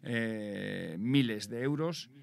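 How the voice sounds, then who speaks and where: A man's voice: fairly low pitch, very slow, gravelly. A man with a Spanish accent answering interview questions as an industry representative, explaining in detail and often repeating words as he goes. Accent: Spain. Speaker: bus industry association president, interviewee.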